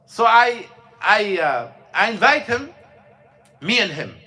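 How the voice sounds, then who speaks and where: Bright-voiced man telling a story. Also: high-pitched, big pitch swings. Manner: storytelling, halting with many fillers and restarts. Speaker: imam. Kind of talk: mosque class talk. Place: mosque classroom.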